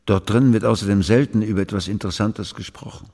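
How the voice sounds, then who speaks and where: An actor playing a stern, patronising royal councillor in a radio play, speaking in a formal, measured, admonishing way. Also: male voice, on the low side, steady tone, darkish tone. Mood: stern, cautious. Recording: echoing hall with long reverb.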